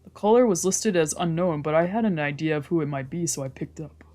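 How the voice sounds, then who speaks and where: A woman's voice: low-pitched, a little fast, mellow, faint music behind. A woman streamer reading a visual novel aloud as narration, with casual commentary of her own.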